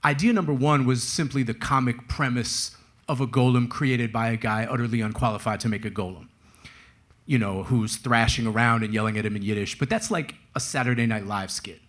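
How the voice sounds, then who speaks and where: A man's voice: mid-range voice, brisk, fairly bright tone. A man speaking as a guest author at a live talk, telling stories in a wry, casual, slangy way. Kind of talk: conversational storytelling at a live book talk.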